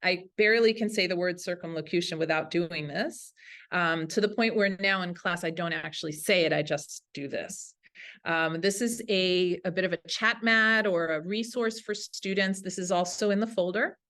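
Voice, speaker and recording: A woman's voice: fairly low pitch, normal speed, fairly bright tone. A woman teacher giving an instructional webinar talk to colleagues in an enthusiastic, informal way. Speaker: language teacher presenting a webinar.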